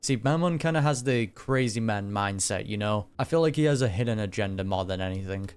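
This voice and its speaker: A man's voice: on the low side, animated, fairly quick. A young man reacting to a game as he plays, with casual, jokey, slangy commentary. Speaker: gaming reaction host.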